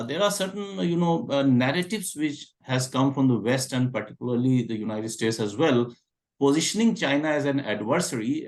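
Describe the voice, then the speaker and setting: A man from India speaking as a professor on a webinar panel, pausing often with fillers, heard over a video call. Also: mid-range voice, darkish tone. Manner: hesitant, many fillers. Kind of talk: prepared talk at an online panel.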